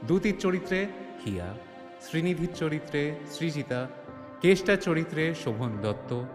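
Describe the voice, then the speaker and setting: A man's voice: fairly high pitch, sing-song, moderate pace. A man narrating an audio drama as a suspenseful, theatrical storyteller. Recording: echoey, long reverb, music behind. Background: music.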